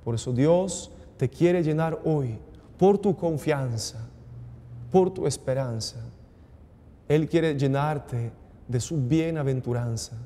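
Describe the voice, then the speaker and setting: A man in his forties, a priest speaking solemnly and with measured exhortation at Mass. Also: on the high side, mellow. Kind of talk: homily and liturgical prayer. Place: small indoor TV studio chapel. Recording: long reverberant hall echo.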